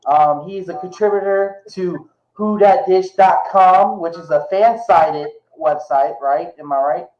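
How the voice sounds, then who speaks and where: A man's voice: high-pitched, measured pace, few pauses, echoey room, lo-fi sound. A man hosting a podcast, speaking casually and stumbling over repeated words. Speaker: podcast host.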